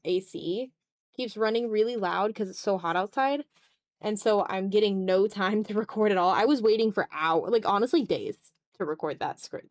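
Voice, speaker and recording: A tired woman with a mid-pitched voice hosts a casual drawing stream. She talks in a self-deprecating way, drifting into mutters to herself. The sound is close and dry, with very little room in it. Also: no room sound. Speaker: art livestreamer and host. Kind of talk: casual livestream chat while drawing.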